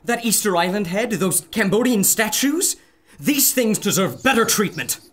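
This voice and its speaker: An actor playing an earnest museum scholar of ancient artifacts in an audio adventure serial. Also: male voice, high-pitched, expressive, moderate pace. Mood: earnest, absorbed.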